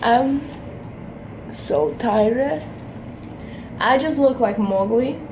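A chatty young Brazilian woman with a fairly high voice, talking straight into a camera held at arm's length. Her English carries a Brazilian Portuguese accent and plenty of "like" fillers. The recording has a clear layer of noise behind her. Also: drawn-out words. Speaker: vlogger. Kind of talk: casual travel vlog to camera. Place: hotel room, indoors.

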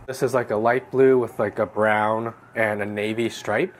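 A young man with a slightly hoarse voice from a cold, relaxed and explanatory as he narrates an unboxing at home. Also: lowish voice, moderate pace. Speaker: fashion vlogger. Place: small room indoors at home.

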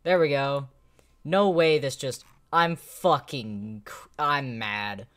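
A young man streams a video game from home, reacting live in casual slang. He is excitable and swears freely. His words come out slowly and drawn out. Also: mid-range voice. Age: young adult. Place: indoors, small home room.

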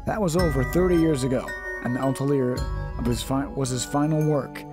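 A man's voice: mid-range voice, fairly quick, over music. A man reading a visual novel aloud as dramatic narration, often stumbling and rereading his lines.

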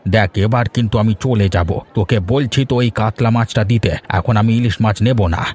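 A man's voice: on the low side, a little fast, a little mellow, breathy edge. A man voicing a kindly middle-aged family man in a cartoon fairy tale, plain-spoken, familiar and firm but obliging. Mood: insistent.

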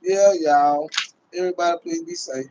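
A man's voice: a little high, steady tone, moderate pace, mellow, no echo, highs cut off. A middle-aged man chatting casually on a sports livestream, slangy and sweary, leaning on repeated catchphrases. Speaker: livestream host and Cowboys fan. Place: small room indoors. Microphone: phone at close range.

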